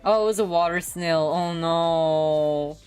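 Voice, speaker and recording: A woman's voice: lowish voice, slow, darkish tone, smooth, soft background music. A young adult woman hosting a livestream and chatting casually. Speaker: livestream host.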